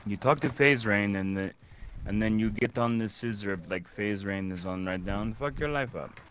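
A man's voice: on the low side, normal speed, fairly bright tone, close to an everyday voice. A man putting on a fake Russian accent as a boastful gamer who talks about himself in the third person, in broken English, over in-game voice chat. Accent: put-on Russian. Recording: game noise and gunfire behind.

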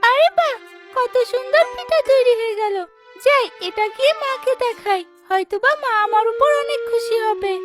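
A woman's voice: extremely high pitch, normal speed, over loud music. A woman voicing a meek, plaintive, mistreated young girl in a children's cartoon. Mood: pleased. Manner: plaintive, earnest.

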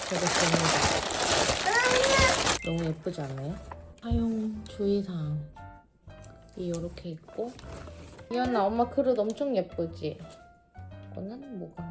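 A cheerful woman in her thirties talks casually to the camera about dishes she just bought, at an everyday mid pitch. She has the chatty, friendly manner of a mother vlogging for her viewers. Loud background music plays under her voice. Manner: chatty, cheerful, shows off purchases. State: sore throat from a cold. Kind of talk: casual vlog chat and voice-over.

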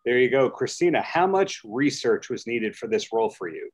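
A man's voice: mid-range voice, sing-song, normal speed, husky. A man hosting a roundtable interview over a video call, steering questions to each guest in turn. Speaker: podcast host.

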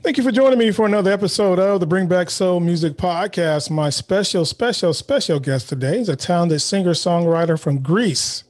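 A relaxed man hosts an interview podcast. He asks questions casually with plenty of filler sounds, speaking into a studio microphone. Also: sits high, a little fast, hardly any pauses. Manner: relaxed, many filler ums. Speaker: podcast host. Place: home studio. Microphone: studio mic with headphones.

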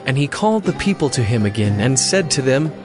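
A man narrating scripture from a script in a measured, formal manner. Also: mid-range voice, sing-song, music in the background.

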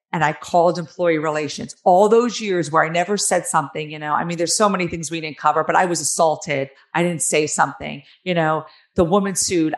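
A woman in her forties with a raspy, nasal New Jersey accent, telling her own story candidly and emphatically as an interview guest on a video call. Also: sits low, a bit bright. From New Jersey, United States. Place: small room at home, on a video call. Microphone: headset on a video call.

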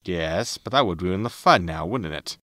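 Man acting a low-voiced, wry space captain. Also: expressive, moderate pace, bright, forceful tone, dry recording, clean studio sound. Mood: wry, breezy. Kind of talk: sitcom audio drama acting.

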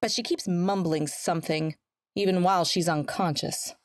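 A woman's voice: lowish voice, fairly even intonation, moderate pace, dry recording, natural voice. A scheming young actress played by a woman boasts with haughty, theatrical flair. She is a jealous video game villainess, recorded very dry and close. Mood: gloating. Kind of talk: dramatic video game voice acting.

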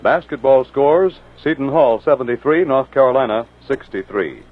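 A man calling out a list of scores in a plain, matter-of-fact way at a middle pitch. The sound is thin and narrow, like an old broadcast coming over a phone line. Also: expressive, moderate pace. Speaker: station announcer. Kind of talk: reading sports scores on radio. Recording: tinny old radio transcription.

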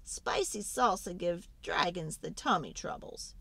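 A woman's voice: lowish voice, expressive, unhurried, vocal fry, bright, forceful tone, husky. A woman reading a children's storybook aloud in a dramatic, theatrical way, stretching words for emphasis.

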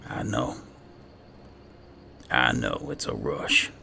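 Super low gravelly guy muttering game commentary, noisy background. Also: slow pace, bright, forceful tone. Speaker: gaming host. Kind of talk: roleplay gameplay commentary. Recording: clearly noisy game ambience behind. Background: game ambience.

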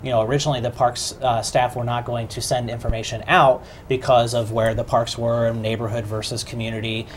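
A man in his thirties, a city councilman, explaining at length as a talk show guest in a small studio, steady with some ums. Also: medium pitch, a little hiss or hum. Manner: measured, explanatory, with fillers. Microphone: lapel mic.

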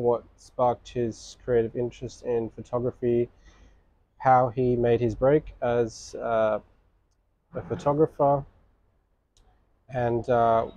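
Young male interviewer; warm low tone, dry and slightly muffled recording, steady read with filler words. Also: no room sound. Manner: reads intro with fillers, laughs lightly. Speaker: interviewer host. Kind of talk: reading guest introduction, then interviewing. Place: outdoor balcony terrace.

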